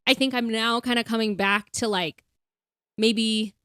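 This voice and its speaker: A woman's voice: on the high side, fairly even intonation, a little slow, a bit bright, clear, resonant tone, no room sound, studio quality. A young woman co-hosting a podcast, chatting in a bubbly, casual way with lots of fillers. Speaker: podcast co-host. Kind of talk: casual chat.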